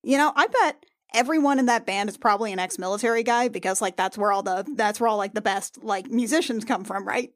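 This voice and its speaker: A woman's voice: mid-range voice, quick pace, few pauses. A woman playing a tabletop roleplaying game, chatting casually and improvising, with a lot of "like" thrown in. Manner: sweary, peppered with "like". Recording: music playing behind the voice. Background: background music.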